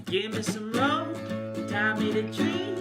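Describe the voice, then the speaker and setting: A woman singing slowly to a plucked guitar accompaniment. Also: fairly high pitch, lively intonation. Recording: guitar music over the voice.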